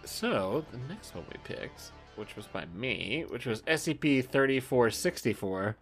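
A man's voice: mid-range voice, expressive, a little slow, faint music behind. A male podcast co-host chatting casually, enthusiastic and rambling, swearing freely. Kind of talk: casual book-club style chat.

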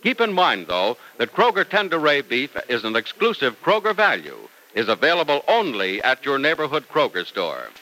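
A man delivering scripted announcements as an old-time radio announcer and pitchman. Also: big pitch swings, moderate pace, few pauses, sharp tone, low-quality recording.

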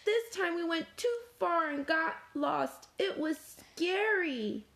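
A woman's voice: very high-pitched, slow pace, fairly bright tone, pitched above the performer's own voice, exaggerated voice. A woman voicing a timid, shaken runaway spoon in a storybook, hesitant and plaintive. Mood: tearful, apologetic.

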